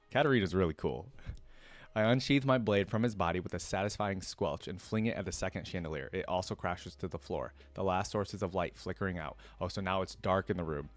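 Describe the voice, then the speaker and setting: A man with a fairly low voice hosts a reaction video. He moves between reading fiction aloud and tossing in his own casual, expressive remarks as a fan. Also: no echo.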